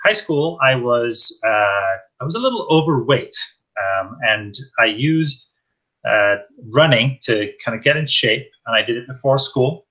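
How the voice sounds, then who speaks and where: Cheerful guy in his 50s chatting away, bright tone, mid pitch. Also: animated, a little slow, rough recording. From northwest Wales. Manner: upbeat, chatty, friendly. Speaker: guest, endurance cyclist. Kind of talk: casual interview chat.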